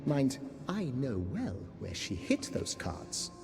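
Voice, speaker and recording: A man's voice: mid-range voice, huge pitch swings, slow pace, creaky, exaggerated voice. An actor playing the indignant ghost of a gambling nobleman, speaking in a theatrical, exasperated way. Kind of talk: video game voice acting. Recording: game audio with reverb and music.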